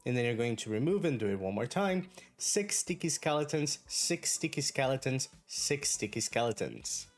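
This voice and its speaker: A man whose first language is Brazilian Portuguese, giving an online English pronunciation lesson in a clear, deliberate, encouraging way. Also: medium pitch, animated, soft background music. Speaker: English teacher.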